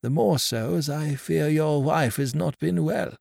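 A man plays a courteous old gentleman from a storybook who is hard of hearing. He speaks in a formal, measured way with a raised pitch and a gravelly grain. The recording is dry. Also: no room sound, heavily acted. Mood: polite. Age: older.

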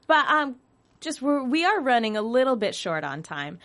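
A woman's voice: sits high, big pitch swings, moderate pace, a bit bright. A woman hosting an interview, asking chatty questions padded with fillers and hedges. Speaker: interview host. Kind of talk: casual interview.

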